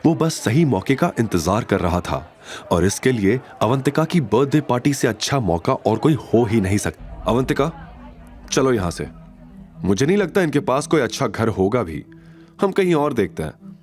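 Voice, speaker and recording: A man narrating a scripted audio drama in an expressive, suspenseful storytelling style. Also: on the low side. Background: faint music.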